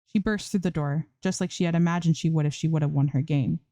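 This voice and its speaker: A woman's voice: sits low, fairly even intonation, fast, mellow, no room sound. A woman reading fan fiction aloud as scripted audiobook-style narration, close to the microphone.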